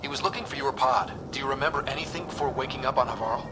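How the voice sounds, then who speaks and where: An actor playing a young human space pathfinder in a video game, a steady and determined leader. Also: male voice, medium pitch, fast talker, over music, natural voice. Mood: serious. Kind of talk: video game cutscene acting.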